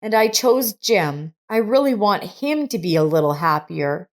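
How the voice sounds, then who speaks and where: Woman narrator as ailing older woman, kindly, gently pleading, earnest, slow-spoken, storybook character. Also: medium pitch, expressive, natural voice. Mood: gentle, wistful.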